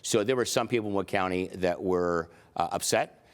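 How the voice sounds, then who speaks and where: A man's voice: low-pitched, moderate pace, clear, resonant tone. A man in his sixties, a county sheriff, giving earnest, emphatic answers with many fillers in a TV interview. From United States.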